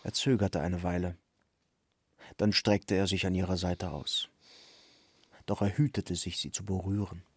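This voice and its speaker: A man's voice: sits low, little pitch movement, unhurried, pauses often, slight background noise. A man narrating a literary radio drama from a script. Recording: clear music bed behind the voice.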